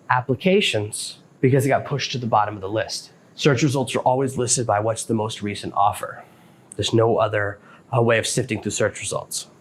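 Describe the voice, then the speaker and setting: Male podcast host, medium pitch, plainspoken and explanatory, instructional delivery with a faintly noisy background. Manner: plainspoken, explanatory, addresses listener directly. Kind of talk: instructional solo podcast talk.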